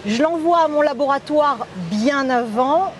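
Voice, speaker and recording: Woman dentist guest, high-pitched and halting, faint outdoor traffic behind. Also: animated. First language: French. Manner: hesitant, searching for French words. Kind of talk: interview on a talk show. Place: outdoors at a café terrace.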